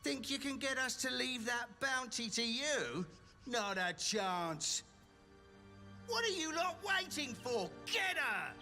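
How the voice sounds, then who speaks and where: A man playing a brash, belligerent small bandit leader in a video game, gruff and taunting as he gives orders. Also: very high voice, big pitch swings, takes it slowly, pushed and bright, soft background music, exaggerated voice. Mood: hostile, taunting. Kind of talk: video game character acting.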